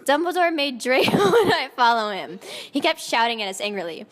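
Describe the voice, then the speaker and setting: A woman's voice: high voice, big pitch swings, brisk. A woman narrating fan fiction in a mock-dramatic style, often close to giggling at the text. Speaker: narrator and reader. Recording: long echoing reverb.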